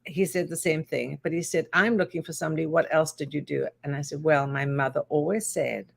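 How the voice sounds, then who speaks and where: An older woman with a South African accent, a perfumer being interviewed on a talk show, telling her stories with animated quoted voices. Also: low voice, brisk, creaky, breathy edge. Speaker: guest, perfumer.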